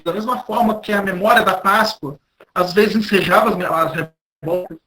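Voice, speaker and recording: Male Brazilian academic, sharp bright tone, halting lecture delivery with frequent rephrasing. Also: high voice, low-quality recording. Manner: halting, repeats and rephrases words. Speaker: history professor, guest lecturer. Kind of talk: academic lecture, spoken off the cuff.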